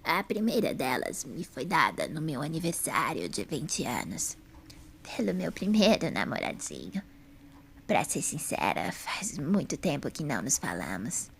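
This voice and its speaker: Woman acting a croaky, frail old lady. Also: sits low, very animated, measured pace, a little hiss or hum, full character voice. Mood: fondly reminiscing. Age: older. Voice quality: hoarse, breathy. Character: serene frail old lady, fond of her statues. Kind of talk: audio drama acting.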